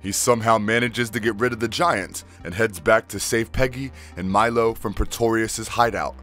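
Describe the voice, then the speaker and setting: A man's voice: fairly low pitch, moderate pace, over music. A man reading a scripted recap as the narrator of a video.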